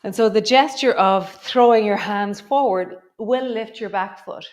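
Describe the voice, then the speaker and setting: A middle-aged woman, gently guiding a yoga class with a slightly stuffy nose. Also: mid-range voice. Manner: gentle, encouraging, drawn-out cues. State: stuffy nose from a coming cold. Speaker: yoga teacher. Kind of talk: guided yoga class instruction.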